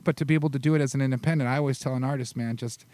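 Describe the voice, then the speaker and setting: A man hosting a podcast interview in casual chat, animated and swearing easily. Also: mid-range voice, very fast, no room sound. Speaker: podcast host.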